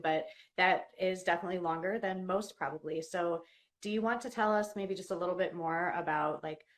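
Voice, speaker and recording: A woman with a slightly low voice hosts a live online session. She speaks conversationally, as an interviewer would when addressing viewers and a guest.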